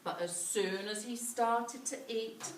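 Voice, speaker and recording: Female children's story reader, unhurried, expressive drawn-out phrasing, recorded a little distant in a reverberant room. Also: fairly high pitch. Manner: dramatic, drawn-out emphasis. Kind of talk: animated children's story reading. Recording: echoey room, distant mic, faint noise.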